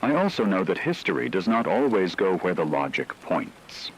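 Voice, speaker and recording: Man playing a veteran crisis broadcaster, low pitch, lively intonation, solemn, deliberate, scripted radio drama. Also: husky, full character voice, rough recording. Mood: grave, resolute. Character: grave, resolute male veteran crisis broadcaster on emergency radio.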